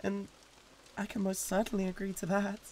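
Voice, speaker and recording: A man playing a possessive, flustered demon with a British accent and a breathy voice, halting and repeating himself in a flirtatious audio roleplay. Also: high-pitched, monotone. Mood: jealous, bitter. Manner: halting, repeating words with wounded pride. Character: jealous, possessive male demon, flustered and pleading.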